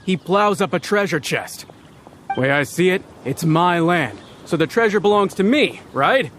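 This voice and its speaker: A man's voice: sits high, expressive, unhurried, fairly bright tone, slight background noise. A man voicing a stubborn farmer who insists he is in the right. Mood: insistent. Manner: self-assured, insistent. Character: stubborn farmer man, sure of his claim.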